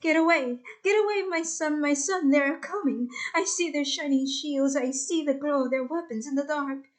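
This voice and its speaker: A woman's voice: sits high, normal speed, close to an everyday voice. A woman voicing an old Trojan father in an epic poem, urgently crying out warnings to his son. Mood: panicked warning.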